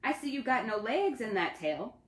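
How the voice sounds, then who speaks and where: In an echoey room, a woman plays a lonely, mistreated girl from a folktale. The voice is lifted high, lively and folksy, with a plaintive, candid manner. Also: moderate pace. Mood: startled, curious.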